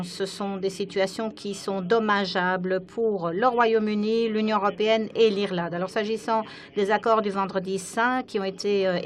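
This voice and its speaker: A woman delivers live interpretation of delegates at a parliamentary conference from an interpreting booth. She keeps pace with each speaker and often pauses with hesitant fillers. Her pitch sits in a mid range. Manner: frequent hesitant fillers while keeping up with speakers. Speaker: simultaneous interpreter. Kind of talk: simultaneous interpretation of parliamentary speeches.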